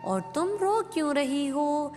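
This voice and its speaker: Woman as a kind bird friend, raised pitch. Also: expressive, slow, a little mellow. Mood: comforting. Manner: comforting. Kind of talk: Hindi cartoon moral-story voice acting. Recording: long reverb with music behind.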